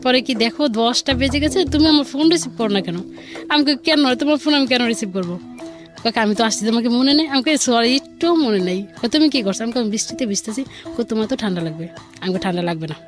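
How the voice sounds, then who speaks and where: A woman's voice: on the high side, quick pace. A woman with a Bangladeshi accent telling a personal story conversationally, quoting dialogue back and forth in colloquial speech. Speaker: caller or guest telling her life story. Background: music.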